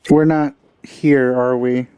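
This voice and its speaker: A man's voice: medium pitch, flat delivery, takes it slowly, mellow. A man giving casual commentary while he plays a video game. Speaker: video game let's player.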